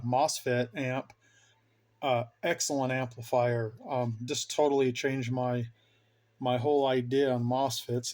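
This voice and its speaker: A middle-aged man with a medium-pitched voice talks through his gear as a hobbyist. His delivery is informal and rambling, an enthusiast's casual show-and-tell for a vlog. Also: darkish tone. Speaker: hobbyist vlogger showing his radio gear.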